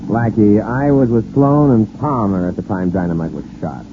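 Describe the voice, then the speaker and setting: A man's voice: lowish voice, moderate pace, lightly acted. A man playing a scornful, defiant crime suspect in 1940s radio acting style. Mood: indignant, defiant. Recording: narrow, clearly noisy 1940s radio recording.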